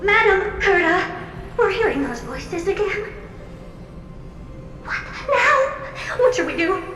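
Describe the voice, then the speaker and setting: A woman's voice: very high-pitched, very animated, slow, exaggerated voice. Echoey, fawning girlish mirror spirit. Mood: panicky. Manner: theatrical, servile, mischievous. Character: eerie sentient magic mirror servants, girlish, fawning and giggly. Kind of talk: video game voice acting. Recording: echoey and distant, loud music behind.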